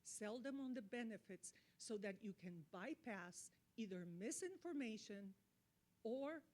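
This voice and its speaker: Woman speaking deliberately and emphatically. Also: on the high side, animated, a little mellow. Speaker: audience speaker, marketing expert. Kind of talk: earnest impromptu remarks at a conference.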